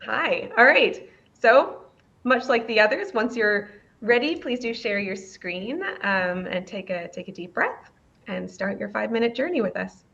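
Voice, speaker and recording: Woman, event host, friendly and upbeat, lots of ums, bright tense tone, dull video-call sound. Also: fairly high pitch, lively intonation, measured pace, gravelly. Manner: upbeat, friendly, laughs easily, filler ums. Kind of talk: hosting a virtual pitch event.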